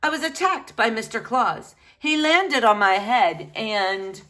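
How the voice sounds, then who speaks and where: A woman's voice: fairly high pitch, lively intonation, takes it slowly, sharp tone, full character voice. Hapless storybook dad, mild and earnest, hesitant rambling delivery woman reader in role. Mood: mildly dazed. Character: mild, befuddled, hopeful father.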